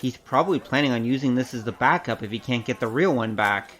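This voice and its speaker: A woman's voice: very deep voice, animated, fast, slight background noise. A woman acting in an audio drama as a wry, streetwise investigator with a cocky, teasing, casual manner. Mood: shrewd, brisk.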